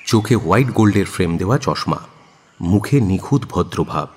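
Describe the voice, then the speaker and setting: Deep, husky male storyteller in reverb. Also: animated, brisk, darkish tone. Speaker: narrator. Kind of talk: dramatised story narration. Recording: long hall-like reverb.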